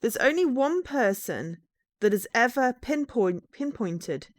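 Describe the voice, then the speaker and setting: Lively young woman, neutral English accent. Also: fairly high pitch, a little slow, no echo. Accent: fairly neutral English. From England, East Midlands. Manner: chatty, laughs often, playfully defensive. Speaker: game streamer. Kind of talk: casual live-stream chat while playing a game.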